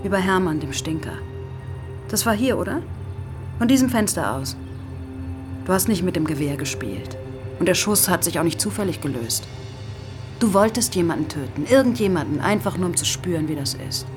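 A woman's voice: on the low side, lively intonation, normal speed, long pauses, music in the background, lightly acted. An actress playing a hardened, defiant woman on the run in a German crime radio drama, speaking tersely and coolly. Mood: cool, confronting.

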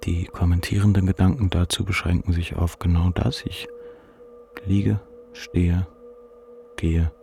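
A numb, ashamed young man in a literary radio play. His voice is very low and gravelly, and he speaks in a bleak, introspective and measured way, as if turned inward on his own despair. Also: flat delivery, warm tone, faint music behind. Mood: numb, empty. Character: despairing, ashamed, depressed man of twenty-four, numb narrator. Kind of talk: literary radio drama inner monologue.

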